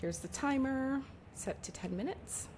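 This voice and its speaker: A woman's voice: high-pitched, expressive, measured pace, darkish tone, slight background noise. A woman hosting a casual, playful question-and-answer interview. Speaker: interview host.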